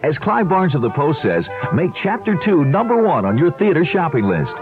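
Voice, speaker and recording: An American man voices a radio advertisement with very lively intonation. Music plays clearly behind him. The sound is narrow and tinny. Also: on the high side, moderate pace. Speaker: advertisement announcer. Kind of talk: scripted radio commercial.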